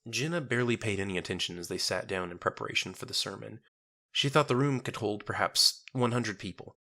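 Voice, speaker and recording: Bright, forceful male story narrator. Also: lowish voice, brisk. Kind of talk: scripted dramatic story narration.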